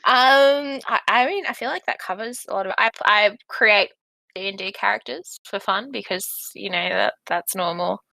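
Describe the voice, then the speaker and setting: A woman's voice: mid-range voice, normal speed, gravelly, bright, forceful tone, dry recording. A woman from Western Australia with an Australian accent, co-hosting a podcast, rambling and self-deprecating. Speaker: podcast co-host. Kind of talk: casual chat.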